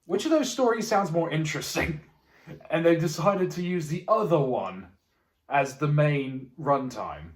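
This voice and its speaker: A man with a slightly high voice talks in an echoey room. He is a podcast co-host in a casual, conversational group discussion. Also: animated, unhurried. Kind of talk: casual group chat about films.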